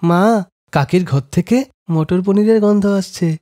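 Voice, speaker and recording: A woman playing a sweet child in a family cartoon, talking in a gentle, coaxing way. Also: on the low side, a little slow, close to an everyday voice.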